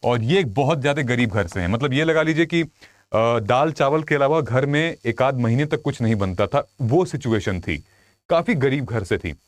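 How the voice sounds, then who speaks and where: A man's voice: mid-range voice, lively intonation, moderate pace, no room sound. A man giving an informal explainer to viewers as a coach and host, telling it conversationally with frequent check-in tags. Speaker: UPSC exam coach and video host.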